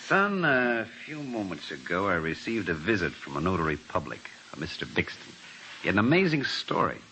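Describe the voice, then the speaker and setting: An actor playing a fatherly, sober older prison warden in an old radio drama, explaining things gravely. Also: sits low, very animated, a little fast, sharp tone, exaggerated voice. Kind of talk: mid-century radio drama acting. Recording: noisy old radio broadcast.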